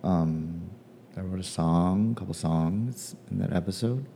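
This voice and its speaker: Man, casual musing, deep mellow voice, soft guitar behind; lots of ums, unhurried. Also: gravelly, a little hiss or hum. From Hawaii. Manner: hesitant, many ums and pauses. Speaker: playwright. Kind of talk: casual personal reflection.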